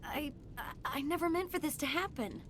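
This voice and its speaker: A young female scientist for a sci-fi game. The voice is high, earnest and troubled by guilt. A faint rumble lies in the background. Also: animated, normal speed, a bit bright. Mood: uneasy, remorseful. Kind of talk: video game voice acting.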